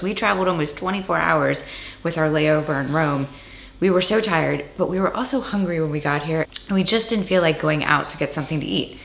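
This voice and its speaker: A woman's voice: on the low side, vocal fry. Echoey woman vlogger, casual talk. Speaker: travel vlogger. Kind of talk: casual vlog talk to camera. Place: indoors in a small apartment. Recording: echoey rooms, some distant with noise.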